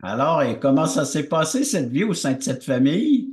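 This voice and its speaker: Lively male show host, echoey room. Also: a little high, normal speed. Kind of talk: hosting an interview.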